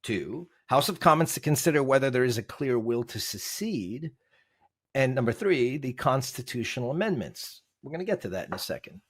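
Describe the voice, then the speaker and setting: A man's voice: mid-range voice, sing-song, normal speed, breathy edge. A man, a podcast guest, explaining legal text in conversation, emphatic and quizzing the host with rhetorical questions.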